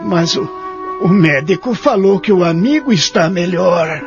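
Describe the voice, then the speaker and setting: A man playing an anxious, lonely old nursing-home resident with a Brazilian accent in an audio drama, his voice husky and creaky. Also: high voice, lively intonation, a little slow. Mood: uneasy. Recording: dull lo-fi audio with music behind.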